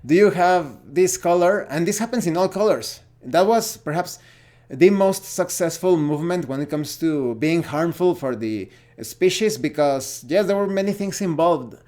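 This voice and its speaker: A man's voice: a little high, animated, moderate pace, a little mellow. A man, a Spanish speaker, giving an unscripted opinion monologue as host of a gaming channel, often searching for words. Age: 40s.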